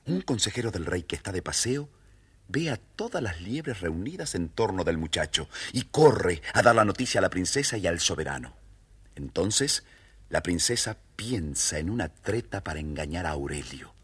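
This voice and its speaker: A man narrates a children's story theatrically. His voice is low and very husky, and he moves playfully up and down for effect. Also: big pitch swings, moderate pace, a bit bright.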